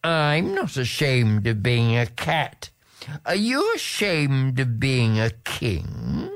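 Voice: medium pitch, wildly swooping pitch, takes it slowly, heavily acted. A narrator doing a cool, witty cat princess. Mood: calm.

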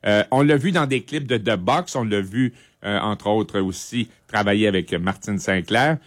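A middle-aged man with a Quebec French accent, presenting a music segment on radio in a conversational, halting, informative way. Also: fairly low pitch, dry recording, studio quality.

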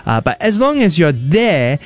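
A Singaporean man hosting a radio show, chatting casually and telling stories with quick asides in a studio. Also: on the high side, big pitch swings. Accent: Singaporean English. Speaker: radio host. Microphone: studio mic.

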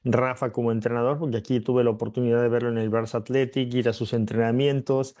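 A man's voice: medium pitch, level tone, fast, warm tone. A man, a former player now pundit, giving thoughtful football analysis with frequent fillers and tag questions. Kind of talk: analysis by remote link.

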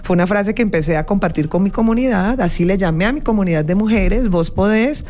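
A woman's voice: medium pitch, fast talker. A woman from Cali with a Colombian accent, chatting casually as a podcast guest in an interview. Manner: animated, laughing, uses vos.

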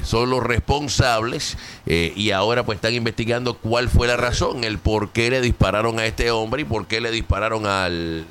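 A man's voice: on the low side, a bit bright, slight background noise. A Puerto Rican man hosting a talk show, reading local news in a chatty way, with many fillers and English words mixed in. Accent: Puerto Rican Spanish. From Puerto Rico.

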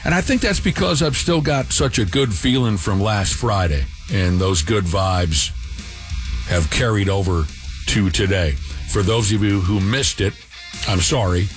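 A man hosting a morning radio show, chatting casually with dry, deadpan teasing. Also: on the low side, a little slow, soft background music.

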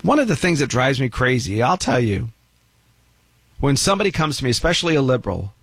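A man's voice: mid-range voice, very animated, a little fast, gravelly, breathy edge, dry recording. A man giving a blunt, opinionated monologue about money and retirement, lecturing with emphatic rises. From United States.

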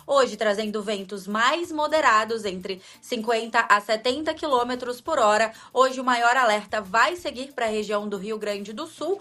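A young woman from Espírito Santo gives a radio weather report in a mixed Espírito Santo and Minas Gerais accent. Her voice sits fairly high and rings bright and crisp. She explains things clearly and at a measured pace. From Espírito Santo, Brazil.

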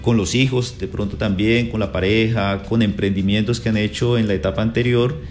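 Male psychologist guest, measured and explanatory, low voice, light music bed. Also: fairly even intonation, darkish tone. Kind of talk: calm explanatory radio interview.

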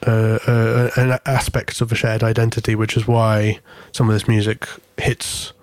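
A man's voice: on the low side, monotone, moderate pace, dry recording. A man in his thirties from Corfu with a lightly Greek-tinged English accent, giving reflective interview answers and often searching for words. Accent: English with Greek influence. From Greece (Corfu), English mother. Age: 30s. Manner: thoughtful, searching for words, many restarts and fillers. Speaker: interview guest, singer.

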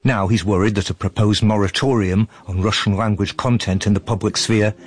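A man's voice: lowish voice, fairly even intonation, brisk, husky, no room sound, clean studio sound. A man narrating a scripted radio news report as a correspondent.

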